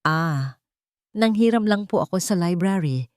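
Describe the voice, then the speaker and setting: A woman voicing a humble, gentle young female caregiver, polite and hesitant, in a romance audio story. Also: lowish voice, animated, unhurried, no echo, clean studio sound. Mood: shy.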